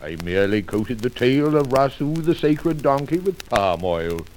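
Proud, commanding desert chieftain, old radio. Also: male voice, medium pitch, sing-song, a little slow. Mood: smug. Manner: pompous, formal archaic diction. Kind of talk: 1940s radio drama acting. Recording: noisy old radio recording with clicks.

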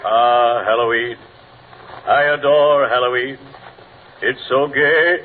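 A male actor playing a genteel, morbid undertaker in old-time radio comedy, speaking formally and slowly with a solemn deadpan delivery. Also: medium pitch, lightly acted. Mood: morbidly delighted. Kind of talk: 1940s-50s radio comedy acting. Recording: noisy, tinny old radio broadcast.

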